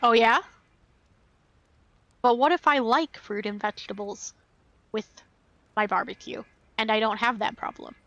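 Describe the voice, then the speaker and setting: A woman's voice: fairly high pitch, a little slow, long pauses, a bit bright, no echo, highs cut off. A woman live-streaming a game in casual commentary, playfully and wryly talking back to the characters. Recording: faint game music behind.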